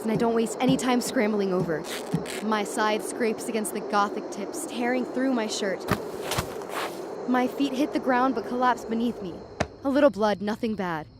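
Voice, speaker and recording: A woman's voice: a little high, very noisy, lightly acted. An actress playing a panicky young woman on acid, telling her horror story in the first person in an urgent, confiding way. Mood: panicked. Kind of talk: first-person present-tense horror narration, dramatic.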